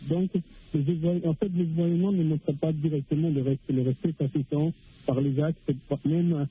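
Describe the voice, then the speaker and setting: A man's voice: a little high, steady tone, breathy edge. Man speaking over a tinny phone line. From Africa. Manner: halting, many restarts and fillers. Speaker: radio caller. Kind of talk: phone-in radio discussion.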